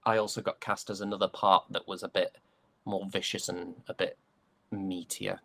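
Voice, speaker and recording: A young British man chatting casually as an interview guest, an actor recounting his roles in a hesitant, halting way. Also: deep voice, level tone, creaky, bright, forceful tone, dry recording. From United Kingdom.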